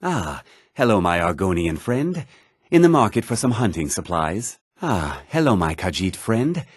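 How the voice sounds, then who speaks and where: A self-important townsman from a fantasy video game. His husky voice swings widely in pitch and is recorded close and dry. He talks with theatrical, smug condescension. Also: big pitch swings, unhurried, dry recording, full character voice. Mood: courteous, theatrical. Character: haughty, self-important male townsman and merchant of a fantasy world. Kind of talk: video game character dialogue.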